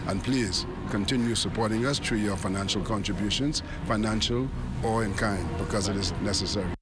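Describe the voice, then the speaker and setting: A man speaks informally as a sports official, enthusiastically repeating himself. His voice is on the low side. Urban outdoor noise is clearly heard behind him. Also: a little fast.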